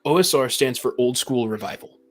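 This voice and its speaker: A man's voice: mid-range voice, brisk. A man on a podcast panel giving his opinions in a casual, assertive, explanatory way.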